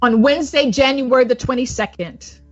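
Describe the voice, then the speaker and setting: A woman evangelist reads a report like a sermon, in emphatic, deliberate, broken phrases, repeating points for weight. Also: high voice. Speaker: evangelist reading a prophecy report. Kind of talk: sermon-like scripted report reading. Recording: dull lo-fi audio with music underneath. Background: music.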